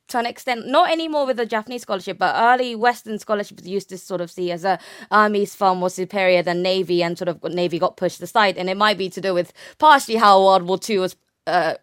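A woman's voice: mid-range voice, clean tone, dry recording, studio quality. A young woman speaking as an academic guest, explaining her research conversationally and often rephrasing with "sort of".

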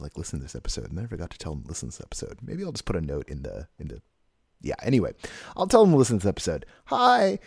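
A man hosting a podcast, hoarse and tired, talking in a rambling way with many restarts and asides. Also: deep voice, darkish tone, no room sound, studio quality. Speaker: podcast host. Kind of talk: casual chat and solo monologue.

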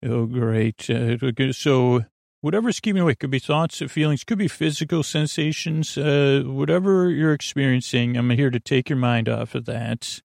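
A man with a creaky, gravelly voice hosting a sleep podcast, rambling through an improvised, lulling bedtime monologue full of hesitant digressions. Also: medium pitch, normal speed, a little mellow, no room sound, clean studio sound. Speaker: podcast host.

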